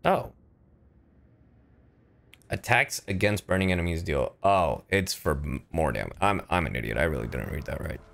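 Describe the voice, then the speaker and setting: A man's voice: low voice, lively intonation, normal speed, gravelly, pauses often, a bit bright. A man doing casual, profane livestream gaming commentary, exclaiming and muttering to himself. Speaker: streamer, video game player. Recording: game sound behind the voice. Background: video game ambience.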